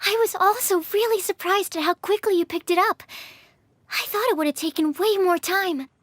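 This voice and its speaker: A woman's voice: very high-pitched, moderate pace, fairly bright tone, no room sound. A woman playing a sweet, earnest young female researcher in an anime game, polite and encouraging. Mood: impressed, earnest. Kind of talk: anime video game voice acting. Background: music.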